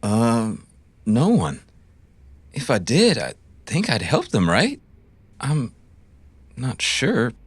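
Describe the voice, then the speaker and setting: Low, husky, dazed captive. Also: female voice, huge pitch swings, no room sound, heavily acted. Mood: uncertain. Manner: halting, uncertain. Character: dazed, suggestible, gentle captive. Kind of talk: cyberpunk audio drama acting.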